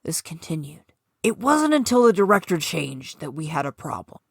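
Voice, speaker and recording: Female narrator for supernatural fiction, scripted read, lower register, very dry recording. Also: sing-song, moderate pace, breathy edge, no room sound. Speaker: author reading own short story.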